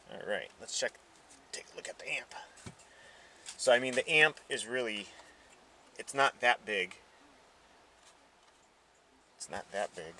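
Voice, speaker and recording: Slow-paced man with a bright voice. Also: fairly low pitch, a little hiss or hum. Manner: informal, thinking aloud as he handles items. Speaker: host of a car audio channel. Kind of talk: casual unboxing commentary.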